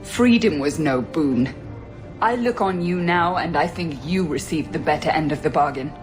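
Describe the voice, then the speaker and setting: A woman's voice: sits low, normal speed, over music, lightly acted. A woman playing an embittered, accusing freed slave in a video game drama. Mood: bitter, accusing.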